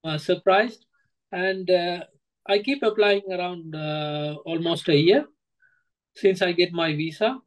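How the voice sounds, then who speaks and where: Higher-pitched Sri Lankan man, halting. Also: low-quality recording. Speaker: guest, former coaching student. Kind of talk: interview answers, personal account. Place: indoor room, on a video call.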